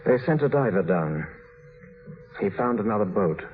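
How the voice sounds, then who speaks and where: A man's voice: lowish voice, lively intonation, moderate pace, narrow, tinny audio, faint music behind, natural voice. A man playing a tormented, guilt-burdened husband in a 1950s radio drama, grave and bitter in delivery. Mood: grim, resigned. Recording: thin, faintly noisy vintage radio recording.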